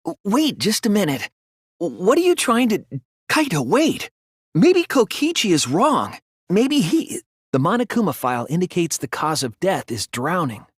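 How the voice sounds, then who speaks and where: A man voicing an earnest, soft-spoken teenage detective in a video game, reasoning carefully through a case. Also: sits high, wildly swooping pitch, moderate pace, breathy edge, no echo, studio quality, exaggerated voice. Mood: flustered, pleading, then measured, certain. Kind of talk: video game voice acting, deductive exposition.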